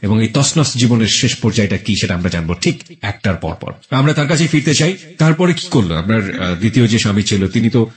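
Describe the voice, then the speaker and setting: A man's voice: on the low side, lively intonation, fairly quick. A man with a Bangladeshi accent hosting a radio interview, emphatic, repeating answers back and dropping in English phrases. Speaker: radio host.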